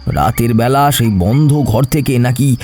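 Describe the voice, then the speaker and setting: A man playing a middle-aged village gentleman in a horror audio story, grave and fatherly, speaking slowly with pauses. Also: mid-range voice, lively intonation, faint music behind. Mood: grave, knowing. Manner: slow, grave, pausing. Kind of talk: dramatic Bengali horror audio story acting.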